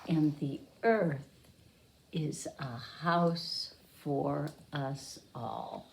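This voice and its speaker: A woman teacher reading a picture book aloud to young children, pausing to explain and repeat words simply. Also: sits low, steady tone, vocal fry.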